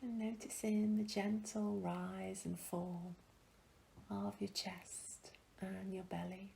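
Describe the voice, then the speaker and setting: A woman guiding a meditation in a gentle, soothing, hushed and breathy voice, leaving long pauses between phrases. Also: medium pitch, flat delivery, a little hiss or hum. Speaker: meditation guide. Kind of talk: guided meditation, soothing and slow.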